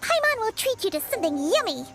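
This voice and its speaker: An excitable, caring little fairy girl in an anime-style game. The voice is extremely high and squeaky, with lively swings in pitch and full of exclamations. Prominent music plays behind it. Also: fast, heavily acted. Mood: reassuring. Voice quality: squeaky, childlike. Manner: exclamatory, refers to self in third person. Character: small excitable floating fairy companion girl, childlike and caring.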